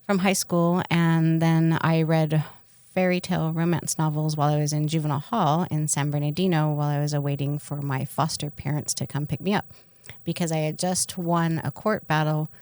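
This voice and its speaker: Give this a woman with a distinctly low voice, recorded close and dry. She co-hosts a podcast and tells personal stories in a frank, irreverent, joking way, in loose conversational speech. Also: flat delivery, moderate pace, a little mellow, clean tone, no room sound. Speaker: podcast co-host. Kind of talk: candid casual chat, personal storytelling.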